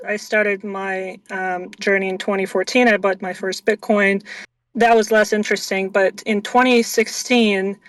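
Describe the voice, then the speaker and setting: A woman in her thirties, born in Russia, telling her story as a guest on an interview stream in a measured way with frequent ums. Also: medium pitch, fairly bright tone, no room sound, far from the mic, low-quality recording. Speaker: guest, venture investor. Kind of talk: casual interview chat.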